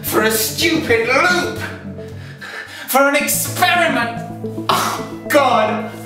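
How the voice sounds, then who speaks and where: A man's voice: extremely high pitch, expressive, extremely slow, heavily acted. An actor playing a confused, anguished man held captive with no memory, hesitant and pleading, in a one-act drama. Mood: desperate, pleading. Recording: very echoey, distant, loud music behind.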